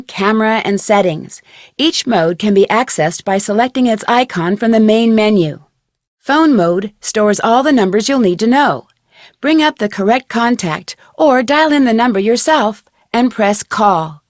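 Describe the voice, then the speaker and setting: A woman's voice: mid-range voice, no echo, studio quality, close to an everyday voice. An actress playing an upbeat, organized young girl detective, narrating scripted tutorial instructions for a mystery game.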